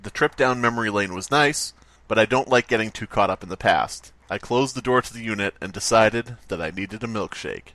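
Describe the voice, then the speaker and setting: A man's voice: on the low side, brisk, pushed and bright. A man hosting a comedy podcast, reading stories aloud and riffing casually with jokes and swearing. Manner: joking, swears casually, riffs on bits. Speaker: podcast host.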